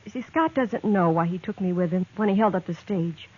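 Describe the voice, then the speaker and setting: A woman's voice: on the low side, a little fast, husky. Hesitant young woman on a tinny old radio line; earnest, pleading, stumbling over words. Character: young woman, torn and pleading, in love with an outlaw. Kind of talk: 1950s radio drama acting. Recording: tinny vintage radio transcription.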